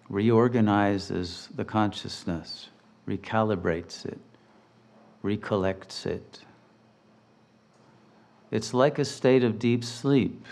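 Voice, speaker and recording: A man leading a guided meditation as a teacher, speaking in measured, deliberate, soothing phrases with long pauses. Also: lowish voice, vocal fry, darkish tone.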